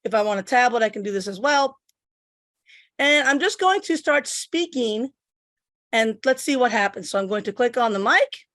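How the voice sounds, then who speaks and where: A woman's voice: a little high, animated, normal speed, fairly bright tone, no room sound, studio quality. A middle-aged woman from Puerto Rico giving a step-by-step tech demo at her computer, explaining each click as she goes. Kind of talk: instructional screen demo. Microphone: computer microphone.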